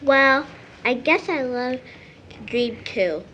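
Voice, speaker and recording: Dull slightly noisy recording, grumbly little kid in audio drama, highish, takes their time. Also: female voice, lively intonation, takes it slowly, a bit bright, exaggerated voice. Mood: grumbling. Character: grumbling small child, puzzled by grown-ups.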